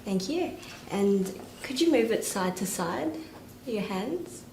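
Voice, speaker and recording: A woman's voice: medium pitch, animated, slow pace, a little mellow. A woman examining a patient, politely giving step-by-step instructions in short, courteous requests. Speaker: clinical examiner. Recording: echoey, distant mic, noisy background.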